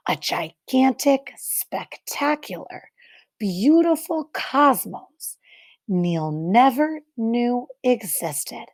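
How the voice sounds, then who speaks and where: A woman reading a picture book aloud like a teacher, expressively, with deliberate dramatic pauses and emphasis for young listeners. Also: sits high, a little mellow. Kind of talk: expressive children's read-aloud narration.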